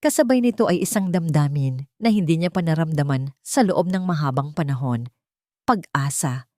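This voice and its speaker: A woman narrating a scripted romantic audio drama in a steady, emotive storytelling manner. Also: sits low, moderate pace, dry recording, clean studio sound.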